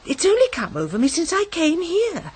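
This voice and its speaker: An actress playing a timid, nervous spinster companion with a British accent in a radio mystery drama. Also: female voice, extremely high pitch, wildly swooping pitch, moderate pace, a little mellow, heavily acted. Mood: frightened, fretful.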